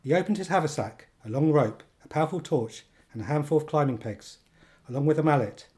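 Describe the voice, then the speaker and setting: An actor narrating a comic thriller radio play as a measured, deadpan storyteller, precise and dryly wry. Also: male voice, fairly high pitch, natural voice.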